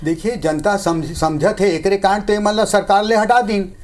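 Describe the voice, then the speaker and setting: A man's voice: high voice, fairly even intonation, normal speed. A man with a Chhattisgarhi accent speaking as a party spokesperson in a political TV debate, argumentative and critical of opponents.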